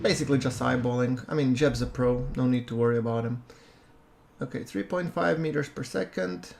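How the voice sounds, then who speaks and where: A man giving relaxed, chatty commentary over a space-game playthrough, with a soothing voice and self-deprecating asides. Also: medium pitch, brisk, vocal fry, a little hiss or hum. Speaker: gameplay commentator. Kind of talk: casual let's-play commentary.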